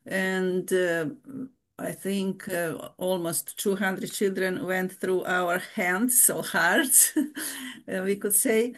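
Woman, older, nun, halting, many restarts, frequent laughs. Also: medium pitch. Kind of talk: casual interview.

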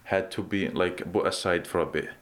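A man's voice: sits low, fairly even intonation, normal speed. A man in his twenties, a native Arabic speaker, telling his story earnestly as an interview guest, often restarting and saying "exactly". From Iraq. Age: 20s. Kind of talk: casual conversational interview. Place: reception area of a pool.

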